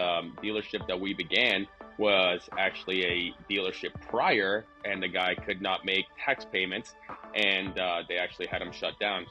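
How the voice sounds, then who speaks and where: A man with a Venezuelan Spanish accent and a fairly low voice speaks as a podcast guest. He talks casually and loosely, rambling with plenty of fillers and restarts. Faint music sits underneath him. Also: pushed and bright, low-quality recording. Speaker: guest, truck dealership owner.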